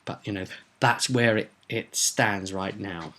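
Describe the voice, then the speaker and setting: A man's voice: on the low side, measured pace, noisy background. A man hosting a casual solo vlog as a game master, explaining his plans for a tabletop game in an emphatic way.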